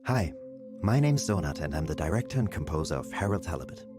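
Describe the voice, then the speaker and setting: Deep, animated man over loud music. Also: fast talker. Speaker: game director and composer. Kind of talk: scripted promotional narration.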